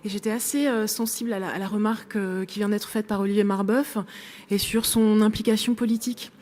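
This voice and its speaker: A woman's voice: medium pitch, steady tone, a little fast, a little mellow. A woman making a long academic remark in a workshop discussion, hesitant with many fillers but building long argued sentences. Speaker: workshop participant.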